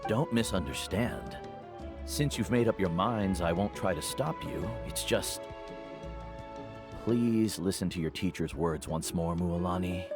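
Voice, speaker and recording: An actor voicing a gentle, caring older mentor guide in a video game, speaking in a measured, solemn way. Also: male voice, low voice.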